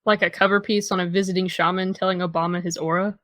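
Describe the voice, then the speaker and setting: A woman's voice: on the low side, little pitch movement, fast, a bit bright, no echo, clean studio sound, lightly acted. A young American woman playing a guarded, dryly witty teenage girl in understated, naturalistic audio drama dialogue. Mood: matter-of-fact.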